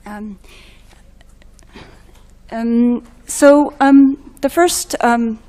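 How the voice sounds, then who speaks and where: A woman moderating a panel discussion, introducing speakers with frequent ums and pauses. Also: fairly high pitch, mellow. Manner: hesitant, many ums and restarts.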